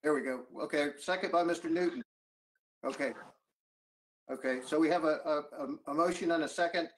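A man with a slightly high voice chairs a formal meeting by video call. He moves through procedure haltingly, with hesitant phrasing. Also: a little hiss or hum. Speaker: council chairman running a meeting. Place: indoors at home or office on a video call.

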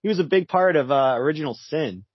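A man's voice: fairly high pitch, expressive, quick pace, slightly rough, no echo, dull audio. A man co-hosting a podcast, chatting laid-back about comics and laughing often. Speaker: podcast co-host. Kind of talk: casual comic book chat.

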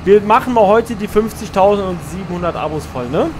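Guy streaming a farm game, chatty, reads chat and replies, kinda high voice, noisy mic. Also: animated, brisk. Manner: chatty, answers chat messages while playing. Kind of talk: live gameplay stream, reading chat.

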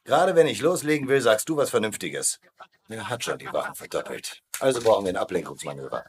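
A man playing a cocky, quick-witted prisoner-of-war colonel, wry and confident in sitcom banter. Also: on the low side, animated, slight background noise, natural voice. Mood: scheming, confident.

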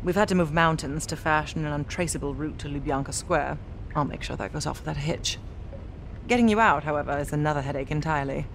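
A woman's voice: low-pitched, slight background noise, rough recording. Dry British woman intelligence agent. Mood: dry, businesslike. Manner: dry, articulate, measured. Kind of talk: scripted video game cutscene acting.

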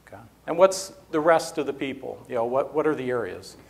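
A man's voice: medium pitch, expressive, brisk, vocal fry. A man in his fifties giving a technical talk at a conference, speaking conversationally with many fillers, from beside a podium mic in a conference room. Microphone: podium mic, standing beside lectern.

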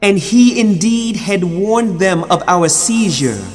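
A man reading translated scripture solemnly, with measured, formal and deliberate phrasing. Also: high voice, animated, faint music behind. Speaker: reader of Quran translation. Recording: long hall reverb.

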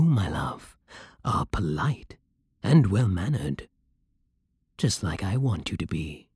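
An intimate ASMR vampire king, performed by a man, British and possessive. His voice is very breathy and soft, close and dry. He speaks with hypnotic, commanding tenderness, slowly drawing out his words. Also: fairly low pitch, no echo, exaggerated voice. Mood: approving, observant. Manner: slow, hypnotic, imperious endearments.

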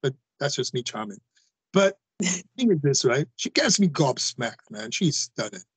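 A man's voice: mid-range voice, very animated, moderate pace, slightly rough, dry recording. A man in his forties, a guest speaking emphatically on a video call, repeating phrases and addressing listeners directly. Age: 40s. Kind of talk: emphatic interview talk.

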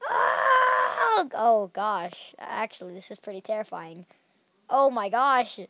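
A woman's voice: a little high, sing-song, takes it slowly, pushed and bright, dry recording. A young woman hosting a game channel, chatting casually as she plays and squealing at danger, with a slightly stuffy nose. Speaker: gaming channel host.

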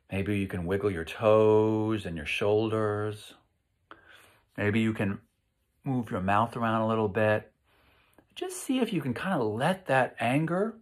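American man, middle-aged, children's read-aloud style, slow pace, lively intonation, explanatory. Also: on the low side. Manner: unhurried, explanatory, asks listeners questions. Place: small living room, indoors.

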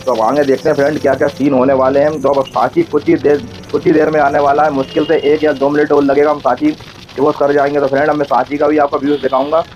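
A man's voice: on the high side, flat delivery, quick pace, a little mellow, soft background music, poor audio. A man with a central Indian accent giving casual, chatty running commentary as a motovlogger while riding, often repeating himself and addressing viewers as friends. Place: outdoors on a motorbike on a road.

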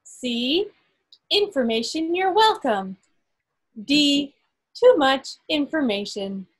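A woman acting as quizmaster, reading quiz questions aloud to an online group in a deliberate way, pausing between phrases. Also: high-pitched, animated. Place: indoors on a group video call. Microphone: video call microphone.

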